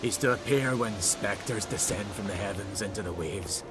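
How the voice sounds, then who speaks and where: A man's voice: fairly low pitch, normal speed, over loud music, close to an everyday voice. A man voicing a dreamy, obsessive island bard in a video game, earnest and old-fashioned in his phrasing. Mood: excited, dreamy.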